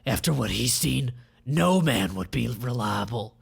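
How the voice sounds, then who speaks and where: A man voicing an angry, vengeful villain tycoon in a forceful, menacing, dramatic way for a comic read aloud. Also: mid-range voice, lively intonation, measured pace, vocal fry, breathy edge, exaggerated voice. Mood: grim, insistent.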